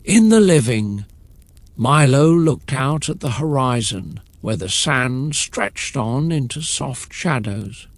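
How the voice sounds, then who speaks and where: A man narrating a scripted bedtime story in a calm, soothing, measured manner. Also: medium pitch, no room sound.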